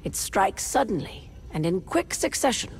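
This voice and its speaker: A woman's voice: medium pitch, very animated, moderate pace, creaky. A woman playing a courteous, cryptic alien desert trader in a video game, speaking in formal, archaic phrases. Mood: courteous, cautionary. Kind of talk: video game character dialogue.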